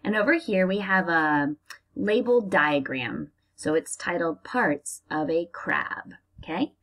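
An American woman teacher reading aloud to young children and explaining as she goes, in a deliberate, expressive manner. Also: fairly low pitch. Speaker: elementary school teacher reading aloud to pupils.

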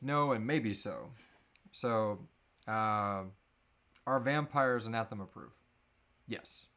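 A man's voice: mid-range voice, fairly bright tone. A man in his thirties chatting casually and informally as host of a live Q&A, with a joking manner. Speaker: host of a live Q&A, audio drama creator. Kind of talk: casual chat, answering audience questions. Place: small room at home, indoors, seated.

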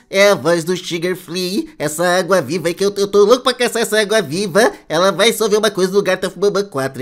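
A man's voice: high voice, natural voice. A man voicing a cheerful childlike cartoon sponge puppet, chatting playfully in Brazilian Portuguese as he hosts reactions. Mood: chatty. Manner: playful, repeats catchphrases to little friends. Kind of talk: casual reaction commentary.

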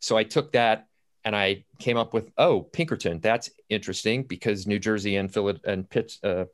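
Man, mature, low voice, playwright on an online panel, storytelling and quoting himself as he goes. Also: normal speed. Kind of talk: anecdotal panel talk about writing. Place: indoors at home, on a video call. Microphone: computer video-call audio.

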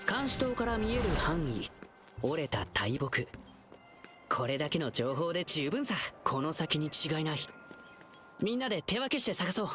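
A man voicing a gentle, alert and confident boyish young guide in a video game. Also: sits high, heavily acted. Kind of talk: Japanese game voice acting.

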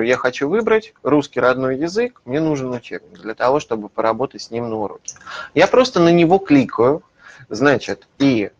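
A man in his thirties, a publisher's lead methodologist, explaining a digital library in a webinar, with frequent hesitation fillers, recorded on a webcam in a small office. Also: medium pitch. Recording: slightly dull lo-fi webinar audio.

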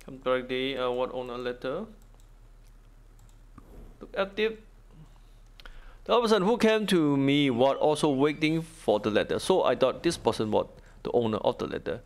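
A man giving casual game walkthrough commentary, thinking aloud in halting, non-native English. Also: mid-range voice, expressive. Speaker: gaming video host.

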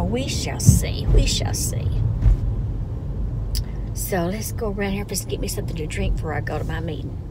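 A woman, chatting to viewers in a casual vlog and calling them "y'all". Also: mid-range voice. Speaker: vlogger. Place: car interior, then at home. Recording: car noise behind voice.

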